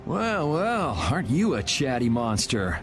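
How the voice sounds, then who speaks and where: A man's voice: a little high, big pitch swings, unhurried, soft background music. A man voicing a cool, wry, laid-back young adventurer in a video game dub. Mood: sardonic.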